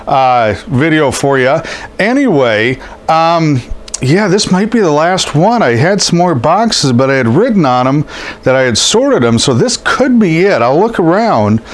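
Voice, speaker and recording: Scratchy stuffed-up man chatting casually. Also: a little high. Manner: rambling, lots of ums and uhs. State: stuffed up from a head cold. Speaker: collectibles seller. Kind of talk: casual show-and-tell narration. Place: indoors over boxes of posters.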